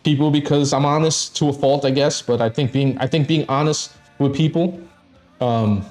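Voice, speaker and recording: A man's voice: medium pitch, moderate pace, darkish tone, faint music behind. A man in his fifties chatting casually on a live stream, blunt and frank, swearing often and laughing between thoughts. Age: 50s. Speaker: stream co-host.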